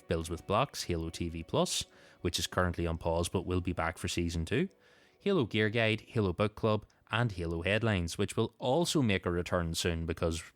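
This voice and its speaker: Man, podcast host, deep register, clean smooth tone, dry sound, conversational announcements. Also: dry recording. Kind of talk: podcast intro and casual chat.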